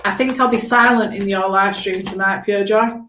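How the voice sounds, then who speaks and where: A woman giving a spontaneous live tarot reading in a halting, reassuring, conversational way, with a slightly hoarse voice. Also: mid-range voice, steady tone, fairly bright tone, slight background noise. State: hoarse after long talking. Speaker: tarot reader hosting a live stream. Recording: roomy, echoing room sound.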